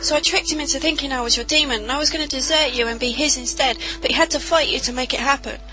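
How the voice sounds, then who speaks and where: A woman's voice: high voice, steady tone, fast, music in the background, close to an everyday voice. A woman playing a headstrong, impulsive young girl in an audio drama, defiant and urgent. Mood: anxious, confessing.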